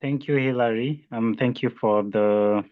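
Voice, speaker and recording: A man's voice: medium pitch, measured pace, no room sound. A man from Burundi, a training participant, politely and hesitantly asking a question on an online call. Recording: dull lo-fi call audio.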